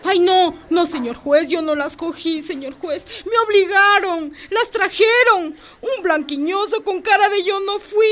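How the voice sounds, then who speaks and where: An actress playing a humble elderly shopkeeper in a radio drama, pleading and deferential. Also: female voice, extremely high pitch, unhurried, few pauses, fairly bright tone, a little hiss or hum. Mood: pleading, alarmed.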